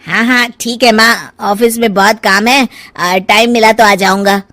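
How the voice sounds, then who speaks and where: A woman's voice: mid-range voice, fairly even intonation, measured pace, fairly bright tone, no room sound, close to an everyday voice. A woman voicing an insistent, self-centred grown son in a cartoon moral story. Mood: dismissive.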